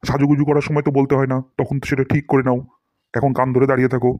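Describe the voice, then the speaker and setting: A man's voice: medium pitch, level tone, very fast, no room sound, muffled recording, natural voice. A man voicing a strict, grumbling history teacher in a comedy cartoon, with a bossy, scolding manner. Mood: stern.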